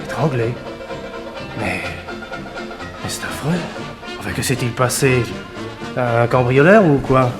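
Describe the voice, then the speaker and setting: A man's voice: medium pitch, lively intonation, slow, pauses often, music in the background. An actor playing a harried male show producer in a 1960s French radio drama, effusive and flustered. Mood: shocked.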